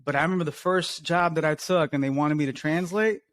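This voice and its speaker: A man's voice: fairly high pitch, steady tone, brisk, no room sound, studio quality. A young man co-hosting a podcast in casual chat, laughing often and swearing casually. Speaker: podcast co-host.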